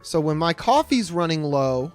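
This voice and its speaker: A man's voice: a little high, big pitch swings, normal speed, clear, resonant tone, soft background music. A man in his late twenties rambles while streaming a game as host, swearing freely and poking fun at himself. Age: late 20s. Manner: rambling, profane and self-mocking. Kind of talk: casual gameplay commentary.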